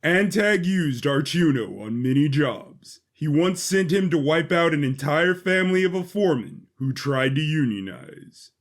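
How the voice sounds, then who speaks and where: Higher-pitched male sci-fi narrator. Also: lively intonation, measured pace, few pauses. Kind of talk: scripted sci-fi story narration.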